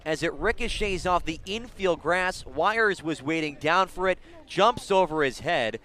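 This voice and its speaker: A man with a slightly high voice does live radio baseball play-by-play. He describes each moment in detail and lifts his delivery for the big moments. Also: measured pace. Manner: descriptive, builds excitement on big plays. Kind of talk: live radio sports commentary.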